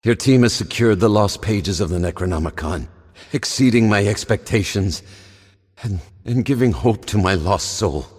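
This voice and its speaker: A scholarly professor guides survivors in a video game. His voice is deep and solemn, his wording formal, and every line hangs in a long reverb. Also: moderate pace, a little mellow, slightly rough. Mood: solemn, urgent. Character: grave, scholarly male professor guiding survivors. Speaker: game narrator. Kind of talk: scripted game narration.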